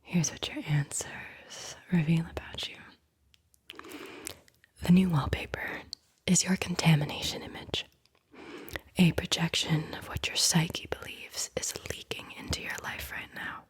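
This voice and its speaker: A woman's voice: low-pitched, fairly even intonation, measured pace, breathy edge. A woman whispering a playful guided visualization as an ASMR host, close to the mic.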